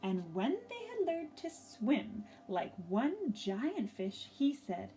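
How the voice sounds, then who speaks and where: A woman reading a story aloud for young children, gently and expressively, pausing to ask them questions. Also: on the high side, sing-song, faint music behind, low-quality recording.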